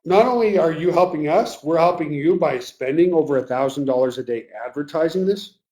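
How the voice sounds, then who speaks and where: A man hosting a live webinar, talking earnestly and emotionally to his team, his voice getting a little raspy. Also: fairly high pitch, fairly quick, a little mellow, rough recording. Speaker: webinar host. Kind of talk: live webinar pep talk.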